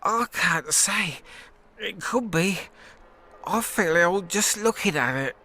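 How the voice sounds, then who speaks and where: Slow, groggy drunken young man. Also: high voice, animated, sharp tone, heavily acted. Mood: dazed recounting. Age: young adult. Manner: halting, plaintive. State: groaning, hungover. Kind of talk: video game voice acting.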